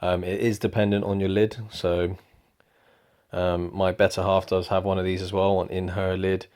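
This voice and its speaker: A young man giving a relaxed, rambling product review at home, with lots of ums. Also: low-pitched, moderate pace, smooth. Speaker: motorcycle vlogger. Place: indoors at home.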